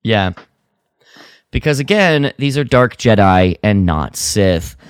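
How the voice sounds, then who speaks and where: A man co-hosting a podcast, chatting casually and retelling a story in an animated way. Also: fairly low pitch, takes it slowly, smooth, no room sound, studio quality. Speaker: podcast co-host. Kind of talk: casual review chat about an audio drama.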